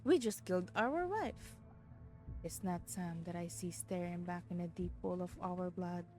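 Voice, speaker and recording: A woman's voice: on the low side, measured pace, a little mellow. A woman streamer, reading a visual novel aloud expressively and dramatically, with casual reactions. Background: background music.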